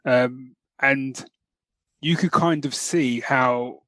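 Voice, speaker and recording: A man's voice: mid-range voice, very slow, fairly bright tone, dry recording. Casual male podcast co-host, dry close sound. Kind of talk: casual chat about geek news.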